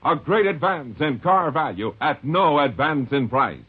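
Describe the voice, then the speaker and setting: A man pitching a car in a 1950s radio ad, breezy and upbeat. His voice is a bit husky and swings lively in pitch. It sounds thin, like an old phone line. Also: unhurried. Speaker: commercial pitchman.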